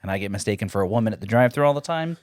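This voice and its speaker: A young man co-hosting a podcast in casual chat, ranting with lots of swearing and big jumps in pitch. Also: expressive, rapid-fire, a little mellow, dry recording, studio quality. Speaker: podcast co-host.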